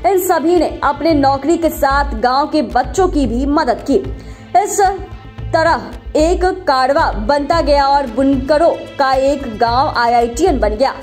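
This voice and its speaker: A woman's voice: high-pitched, takes it slowly, a little mellow. A woman reading a scripted news feature as a voice-over narrator. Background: background music.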